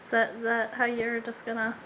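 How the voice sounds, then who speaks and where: A young woman with a fairly high voice jokes and teases her way through a casual home video. She sounds hyper and playful, recorded in a living room by a camera propped on a counter. Clicks and handling noise come through clearly. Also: monotone, a little fast, bright, forceful tone, lo-fi sound. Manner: playful, goofy and hyper, teasing. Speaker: vlogger filming family. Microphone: camera propped on a counter nearby.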